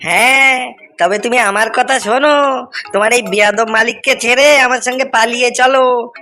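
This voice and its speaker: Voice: medium pitch, steady tone, moderate pace, sharp tone. A performer voicing a clever, friendly fox in a cartoon moral tale, talking in a chatty, coaxing way. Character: clever, carefree, friendly fox who coaxes and cautions. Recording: music bed under the voice.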